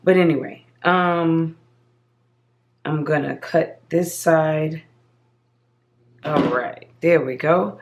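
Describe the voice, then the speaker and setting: A woman with a slightly hoarse voice walks viewers through a craft project step by step. She speaks at a slow, unhurried pace in a chatty, casual tone. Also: deep voice, slight background noise. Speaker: craft channel host. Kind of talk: casual crafting tutorial voice-over.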